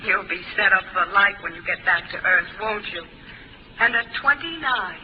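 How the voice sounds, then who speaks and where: An actress playing a cool, calm young woman companion in a 1950s radio drama, speaking softly and gently. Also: medium pitch, moderate pace, lightly acted. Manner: soft, calm and gently probing. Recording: dull old 1950s radio broadcast with faint hiss.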